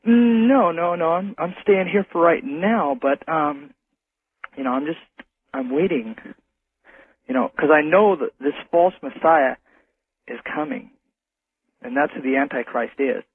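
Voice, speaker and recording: A nervous American man calling in to a radio show, speaking earnestly and hesitantly in short halting phrases. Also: on the high side, animated, breathy edge. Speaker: phone-in caller to a radio show. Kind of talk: earnest religious warning in casual phone talk. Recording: muffled narrow telephone line on radio.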